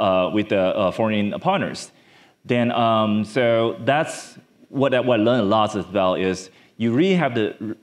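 A man from Taiwan, giving a conference talk from a large stage on a lapel mic, halting with many fillers and restarts. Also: mid-range voice. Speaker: startup founder speaker.

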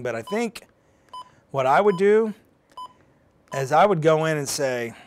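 A man's voice: medium pitch, slight background noise. A middle-aged man hosting a live weather explainer as a TV meteorologist, talking casually and thinking aloud with restarts. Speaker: TV meteorologist, host. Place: indoor TV news studio. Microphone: lapel mic.

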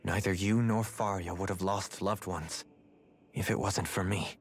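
An actor playing a guilt-ridden, gentlemanly young noble with formal, measured, grave diction in a dramatic video game. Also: male voice, sits low, steady tone, a little hiss or hum. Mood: grave, remorseful.

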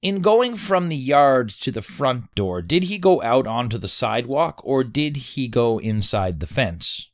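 A formal 1890s prosecutor, played by a woman, with a very deep voice. The questions are precise and methodical, with lively rises and falls, in a dramatic reading of a trial. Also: measured pace, hardly any pauses, exaggerated voice. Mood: probing, formal. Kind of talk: dramatic reading of a court transcript.

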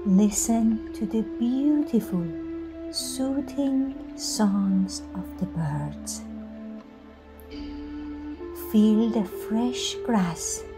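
Very slow-speaking woman guiding a meditation. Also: on the high side, rough recording. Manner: soothing, instructing in short unhurried phrases with pauses. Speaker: meditation guide. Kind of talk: scripted guided meditation. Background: music.

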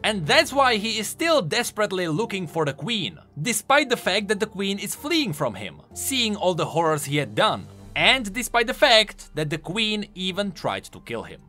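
A man's voice: high-pitched, very animated, normal speed, hardly any pauses, bright, forceful tone. A man giving emphatic, enthusiastic voice-over commentary, explaining the lore of a video game. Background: music.

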